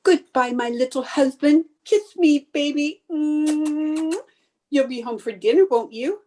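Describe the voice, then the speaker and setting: Woman acting a high-voiced, wisecracking sitcom housewife. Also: a little slow, darkish tone, a little hiss or hum. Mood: playful, cheery. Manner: exaggerated, wisecracking delivery. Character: sarcastic, theatrical young housewife with a quick temper. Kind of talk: old-time radio sitcom acting.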